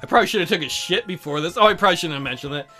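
A man's voice: high voice, animated, very fast, pushed and bright, soft background music. A man hosting a casual let's play, rambling and joking in a crude, sarcastic way. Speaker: gaming video host.

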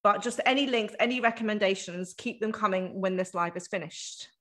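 A woman's voice: mid-range voice, brisk, a bit bright. A woman hosting a live networking video call, upbeat and encouraging. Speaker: host.

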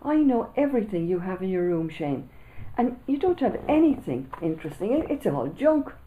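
A woman's voice: medium pitch, very animated, moderate pace, phone-quality sound, slight background noise, natural voice. Woman reading as a scoffing young schoolboy. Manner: scoffing, teasing. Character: cheeky, mocking schoolboy narrator.

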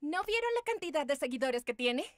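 A woman's voice: high-pitched, very animated, brisk, background noise. A woman voicing a snooty teenage sidekick in a cartoon dub, officious and condescending. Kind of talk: dubbed cartoon acting.

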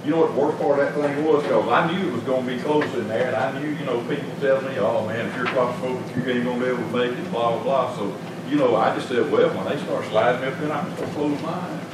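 A middle-aged man preaching a sermon in a small church, talking conversationally with rhetorical questions and swinging between raised emphasis and quiet asides. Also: mid-range voice, fast talker, hardly any pauses. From Georgia, US. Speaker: pastor. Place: small church sanctuary, indoors. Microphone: stand mic at pulpit, filmed by far camera. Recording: very echoey church room, distant mic, noisy.

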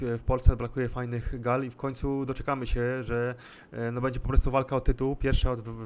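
A man interviews a guest over a video call from a room at home, his voice level and flat in pitch, with a faint background hiss. He asks long questions, pausing and restarting as he builds them. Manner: long winding questions with hesitations. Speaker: sports journalist, interviewer.

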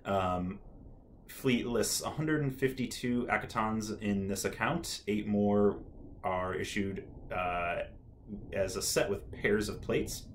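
Young guy, slightly low voice, nerdy history explainer, relaxed, lots of ums, small room. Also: takes it slowly, a little hiss or hum. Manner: relaxed, many ums and uhs, nerdy enthusiasm. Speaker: history video host. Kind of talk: casual educational talk to camera. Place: small room indoors, dark backdrop.

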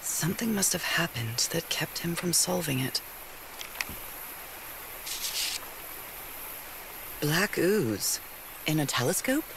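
An actress playing a curious, devoted wife in an adventure game, thinking aloud reflectively with old-fashioned slang. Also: female voice, sits low, animated, moderate pace, background noise. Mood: intrigued. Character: curious, devoted wife searching for her missing husband.

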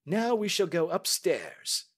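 A man voicing a brisk, astute Victorian gentleman detective with crisp, courteous questioning, in audiobook style. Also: high voice, big pitch swings, pitched above the performer's own voice, heavily acted. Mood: assured, brisk. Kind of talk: audiobook character acting.

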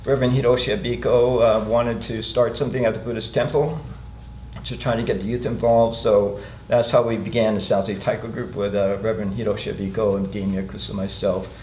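A man's voice: lowish voice, fairly even intonation, brisk, a little mellow. A man in his sixties from California recalling his youth in a group interview, easygoing and chuckling often. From California, USA. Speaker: interviewee, taiko founder. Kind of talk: group interview, personal recollection. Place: museum meeting room, seated in a group. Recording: roomy room, faint noise.

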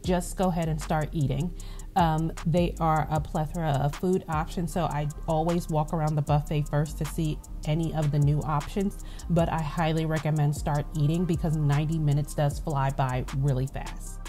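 A young woman narrates a review vlog in a low, relaxed voice. She speaks casually and informatively, like someone passing on practical advice. Light music plays behind her. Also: normal speed. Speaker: vlog host.

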